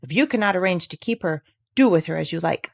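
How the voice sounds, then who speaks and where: A woman's voice: lowish voice, animated, fast talker, gravelly. A woman voicing an exasperated, indignant aunt who scolds emphatically in a storybook read-aloud. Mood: curt, defensive. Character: scolding, exasperated young aunt in a children's classic.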